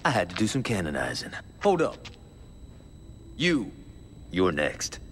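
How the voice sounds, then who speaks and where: A man's voice: low-pitched, huge pitch swings, measured pace, noisy background, full character voice. A man voicing a brash, trigger-happy, wisecracking gangster sidekick in a video game, using sardonic, profane street slang. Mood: irritated, brash. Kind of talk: video game cutscene acting.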